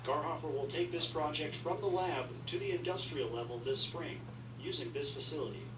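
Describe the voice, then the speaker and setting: A man reading a scripted TV news report in crisp broadcast delivery. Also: on the high side, brisk, recorded from a distance. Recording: TV audio played into an echoey room, noisy.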